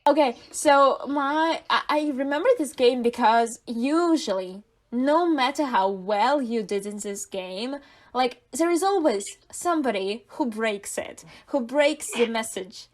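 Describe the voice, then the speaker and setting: Woman, Russian accent, native Russian speaker, fairly high voice, casual podcast chat, thoughtful with fillers. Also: unhurried. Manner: thoughtful, searching for words with fillers and restarts. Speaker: podcast co-host.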